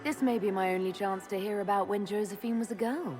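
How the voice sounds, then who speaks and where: An actress playing a composed female leader in a video game, speaking in an even, polite, inquiring way. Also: medium pitch, fairly quick, close to an everyday voice. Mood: curious. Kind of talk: video game dialogue acting. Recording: clear music behind the voice.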